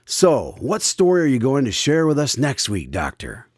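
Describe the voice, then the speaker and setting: A man's voice: mid-range voice, wildly swooping pitch, normal speed, dry recording, clean studio sound. A man hosting a radio drama as its announcer, speaking in a scripted style. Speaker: announcer and host. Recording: loud music behind the voice.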